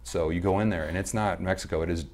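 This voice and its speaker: A man with a very deep, slightly hoarse and smooth voice talks quickly as a podcast guest. He rambles through stories with restarts and fillers in relaxed conversation. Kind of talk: casual interview chat.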